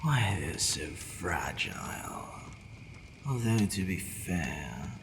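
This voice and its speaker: A man acting a haughty but softening vampire lord in an ASMR audio roleplay, brooding, formal and given to hesitant sighing. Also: sits low, big pitch swings, very slow, heavily acted. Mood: brooding, bemused. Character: possessive male vampire lord, haughty yet secretly softening. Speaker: roleplay voice actor. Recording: long reverb, faint background noise.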